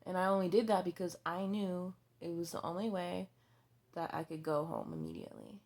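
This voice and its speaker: A woman in her twenties talking frankly in a personal vlog, halting and hesitant, with many restarts and fillers, blunt and given to swearing. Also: lowish voice, expressive, a little mellow. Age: 20s. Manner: blunt and candid, swearing freely. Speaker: vlogger.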